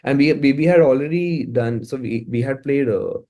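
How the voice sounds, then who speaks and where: A man's voice: medium pitch, normal speed, dark tone. A man with an Indian accent chatting casually in an interview as a musician, often repeating words as he forms his thoughts. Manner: informal, stammering repetition of words as thoughts form.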